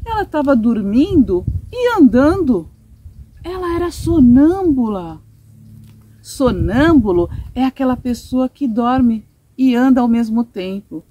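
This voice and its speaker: A woman's voice: sits high, sing-song, takes it slowly, dark tone, breathy edge, low-quality recording. A middle-aged woman with a Brazilian Portuguese accent reading a children's story expressively and in simple, explanatory terms. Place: outdoors in a sunny garden patio, seated.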